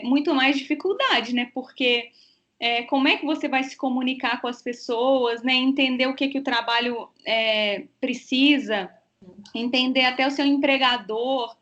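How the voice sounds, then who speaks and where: Woman host, chatty, keeps checking in with the guest, fairly high voice, muffled call audio. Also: a bit bright. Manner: chatty, frequent tag questions. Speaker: interview host, works in student exchange. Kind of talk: casual interview.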